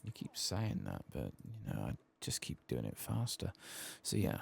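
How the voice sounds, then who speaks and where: Really deep creaky guy, soft asmr gaming murmur, husky, kinda sweary, close mic. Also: wildly swooping pitch, moderate pace. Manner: casual, sweary, murmuring to himself. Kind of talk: soft-spoken, whispered ASMR game commentary.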